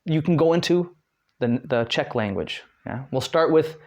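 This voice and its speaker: A man's voice: fairly high pitch, lively intonation, moderate pace, darkish tone. A young American man from Detroit giving a casual spoken lesson, chatty, with many fillers and restarts. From Detroit, United States. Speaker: guest language learner.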